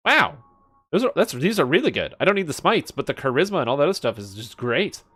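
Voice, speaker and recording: A man's voice: on the high side, very animated, fast talker, bright, forceful tone, slightly rough. A man giving casual live game stream commentary, thinking aloud as he mutters through details and swears now and then. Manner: muttering through item stats, profane.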